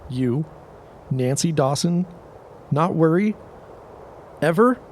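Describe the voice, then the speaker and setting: A man's voice: a little high, animated, takes it slowly, a little mellow, a little hiss or hum. A man playing an earnest young suitor in a period audio drama. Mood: earnest, questioning. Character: earnest young suitor, would-be policeman in 1917.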